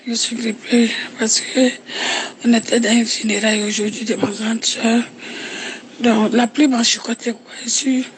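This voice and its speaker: A woman with a cold leaves a chatty voice message, explaining herself. Her voice stays flat and even, with a faint hiss and room sound behind it. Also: fairly high pitch, low-quality recording. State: has a cold.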